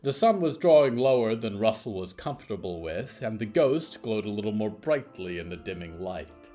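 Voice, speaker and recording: A man narrating scripted horror fiction in a theatrical, deliberate and ominous manner. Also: fairly low pitch, big pitch swings, mellow, faint music behind.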